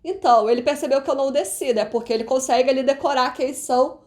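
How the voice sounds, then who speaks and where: A woman's voice: high voice, fast. A young Brazilian woman with a Brazilian Portuguese accent, chatting animatedly to camera as a travel vlogger, peppering her story with little tag questions. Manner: animated, chatty, frequent "né" tags.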